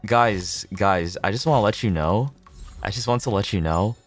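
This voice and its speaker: A man livestreaming a game, chatting casually with viewers, swearing freely, repeating himself and thinking out loud. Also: lowish voice, moderate pace, faint music behind.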